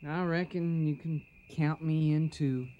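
Male actor for plain-talking trail hand, high-pitched folksy Western drawl, radio drama. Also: steady tone, measured pace, lo-fi sound, noisy background, lightly acted. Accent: American Western drawl.

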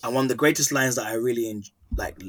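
Husky-voiced man host, impassioned. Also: medium pitch, fast, a bit bright. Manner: impassioned, builds long questions. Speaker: podcast host. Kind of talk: interview questions.

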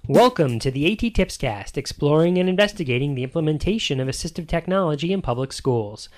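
American male podcast host, very animated pitch swings, playful scripted intros. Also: a little fast, faint music behind. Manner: playful, punning delivery.

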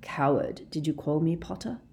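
A woman voicing a sneering, commanding male wizard villain, shouting contemptuous taunts in a dramatic audiobook style. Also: low-pitched, normal speed, gravelly. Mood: bitter contempt.

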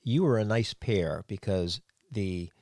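A man's voice: lowish voice, slow pace, darkish tone, no room sound, studio quality. A man hosting an interview, talking at length in long run-on thoughts and complimenting his guest. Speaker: podcast host, former sound engineer. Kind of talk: casual interview chat.

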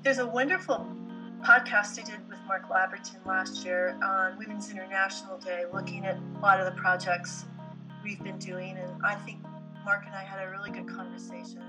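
A woman speaking conversationally as an interview guest, in a medium-pitched voice. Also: fairly even intonation, normal speed. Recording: distant mic under guitar music. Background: plucked guitar music.

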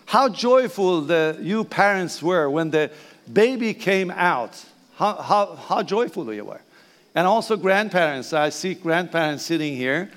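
Older male pastor, Persian accent, high-pitched, halting delivery in a reverberant church hall. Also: animated, a bit bright. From Iran. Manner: hesitant, halting, repeats phrases. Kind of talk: bilingual sermon. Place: church sanctuary.

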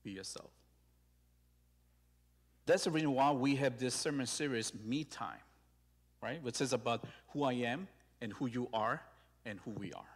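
A man with a Korean accent gives a friendly, conversational sermon at a mid-range pitch. He leads the congregation in call-and-response and speaks to them casually. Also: moderate pace, long pauses. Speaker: site pastor. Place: church sanctuary.

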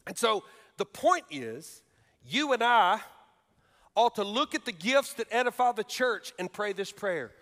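A male pastor from Alabama preaching a sermon in a church, moving between slow, emphatic points and quick, chatty storytelling. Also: high-pitched, sing-song, a bit bright. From Alabama, USA. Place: church sanctuary.

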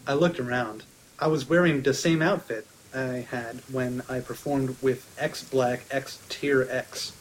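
A man's voice: medium pitch, fairly even intonation, measured pace, slight background noise, rough recording. A man on a podcast giving a comedic dramatic reading of fan fiction.

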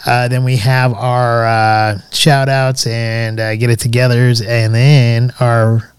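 A man's voice: medium pitch, flat delivery, takes it slowly, clean tone, no echo. A man co-hosting a podcast in casual chat, talking in a hesitant way with many filler sounds. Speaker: podcast co-host.

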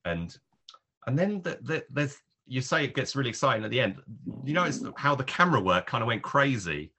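A man's voice: mid-range voice, sing-song, fairly quick, bright, forceful tone, breathy edge. A man reviewing films on a podcast in casual talk, thinking aloud in rambling, hesitant clauses. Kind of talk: casual spoken film review.

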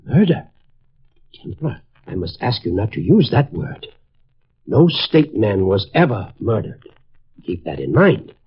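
An actor playing a pompous, flustered older college dean who blusters and repeats himself in a 1950s radio drama. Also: male voice, fairly low pitch, sing-song, measured pace, pauses often, breathy edge, full character voice. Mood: flustered, evasive. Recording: noisy, muffled vintage radio recording.

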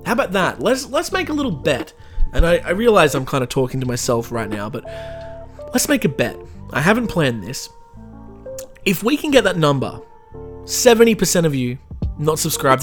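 A man hosting his own podcast, reading a history story and then rambling and joking at himself in a casual sign-off. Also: on the high side. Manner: self-mocking, rambling, jokey. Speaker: podcast host.

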